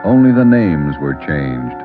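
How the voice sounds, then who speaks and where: A man announcing in a grave, measured, scripted 1940s radio style. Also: a bass voice, big pitch swings. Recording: tinny 1940s radio recording. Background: music.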